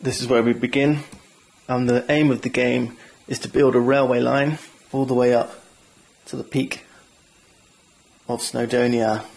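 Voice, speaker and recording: A man's voice: mid-range voice, creaky, darkish tone. A man hosting a board game channel, walking viewers through setup and rules in a chatty, enthusiastic way with self-deprecating asides. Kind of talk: tabletop game rules walkthrough.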